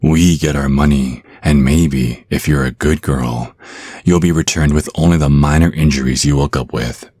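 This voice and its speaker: Man voicing a very deep, menacing vampire naga. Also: a little mellow, dry recording. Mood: smug menace. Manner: slow, coaxing, mock-polite menace. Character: menacing, smooth-talking vampire naga brother, male kidnapper. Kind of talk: ASMR roleplay acting.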